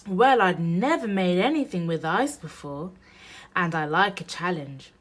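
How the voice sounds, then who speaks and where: A woman with a slightly low voice reads a children's picture book aloud. She takes her time over each phrase, and her pitch rises and falls in an animated, storytelling way. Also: measured pace. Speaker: storybook reader.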